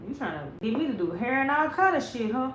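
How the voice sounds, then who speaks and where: A woman's voice: high voice, sing-song, fast talker, a little hiss or hum. A woman in her late thirties, chatting casually and profanely with her viewers. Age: 30s. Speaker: livestream host. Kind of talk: casual live chat. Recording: roomy sound with music behind.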